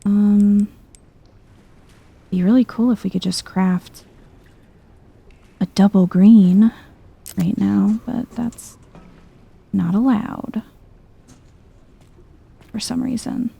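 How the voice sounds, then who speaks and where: Female ASMR let's player: unhurried, chill, soft-spoken, with some creaky fry in a low-lit, relaxed tone. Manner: chill, musing to herself. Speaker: video game streamer.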